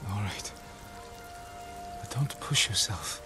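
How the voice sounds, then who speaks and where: A stoic samurai in a video game drama. His voice is deep and restrained, solemn but gentle, as if looking after someone frail. Music sits behind him. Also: very animated, slow pace. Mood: concerned, firm. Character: stoic, gentle samurai warrior caring for an old woman.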